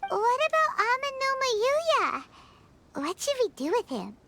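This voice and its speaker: A woman's voice: extremely high pitch, big pitch swings, unhurried, background noise, heavily acted. A woman voicing a small, childlike fairy companion in a video game, perky, inquisitive and a bit fretful. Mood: curious. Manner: refers to self in third person, chirpy and questioning. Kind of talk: scripted video game voice acting. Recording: game audio under loud music.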